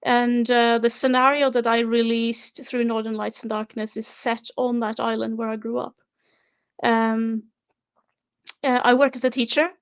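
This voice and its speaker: A woman's voice: fairly high pitch, little pitch movement, unhurried, smooth. A woman in her forties from Norway, a podcast guest talking plainly and hesitantly with fillers. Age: 40s. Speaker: guest, teacher and scenario writer. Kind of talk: casual podcast chat.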